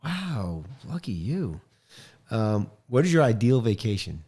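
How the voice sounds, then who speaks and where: Middle-aged male podcast host, upbeat and joking, quick to chime in, close studio mic, home studio. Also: fairly low pitch, sing-song, dark tone, breathy edge. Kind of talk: casual interview podcast. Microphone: studio mic on boom arm, headphones.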